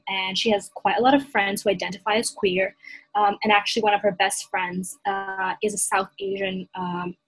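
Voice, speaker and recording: A woman's voice: medium pitch, husky, low-quality recording. A young woman from Hong Kong talking earnestly and reflectively in a casual discussion, with frequent fillers. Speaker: panel guest.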